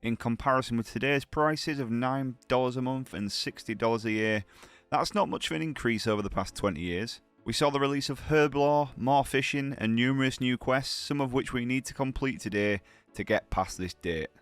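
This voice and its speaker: A man's voice: mid-range voice, normal speed, fairly bright tone, clear, resonant tone, no room sound, faint music behind. A man with a heavy cold, talking through his gameplay in a chatty, upbeat way, full of slang. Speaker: gaming channel host. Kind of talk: gameplay commentary.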